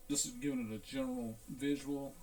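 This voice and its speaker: A man talking through a hands-on equipment check as a repair technician, in a casual, unhurried, halting way, thinking aloud. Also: medium pitch, wildly swooping pitch, darkish tone, low-quality recording. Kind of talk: casual hands-on demonstration narration.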